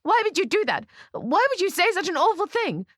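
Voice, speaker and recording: A woman voicing a girlish, excitable old bride who is deluded and frantic, in a dramatic story reading. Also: medium pitch, a little fast, no room sound, studio quality, voice pushed up. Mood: shrill, upset. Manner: girlish, playful turning distressed.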